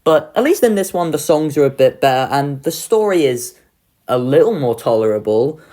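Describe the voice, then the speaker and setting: Low-pitched woman with lively, casual delivery. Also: normal speed. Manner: informal, sardonic, swears. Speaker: video host ranking children's shows. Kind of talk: casual opinionated video commentary.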